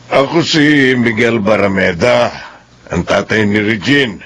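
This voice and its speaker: A man's voice: on the low side, a little slow, a bit bright, husky. An actor playing a domineering, suspicious, hot-tempered middle-aged father in a Filipino radio drama. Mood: suspicious, probing.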